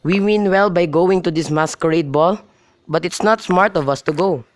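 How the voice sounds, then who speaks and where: A man's voice: sits high, normal speed, natural voice. A young man in an amateur radio drama, playing a melancholy, lovesick youth in an earnest, mournful manner. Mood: reluctant. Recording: clearly noisy amateur recording with clicks.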